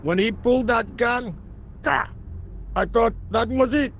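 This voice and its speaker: An actor playing a cocky, gruff, work-shy workman in a video game, colloquial, blustering and scornful. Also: very high voice, a little slow, fairly bright tone, muffled recording, slight background noise, full character voice. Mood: chatty, scornful. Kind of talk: video game dialogue acting.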